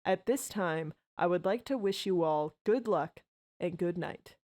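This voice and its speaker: A woman plays a female mayor in an audio drama, addressing citizens in an emergency. Her voice sits fairly low, and her delivery is formal, solemn and official. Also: measured pace, a little mellow, natural voice.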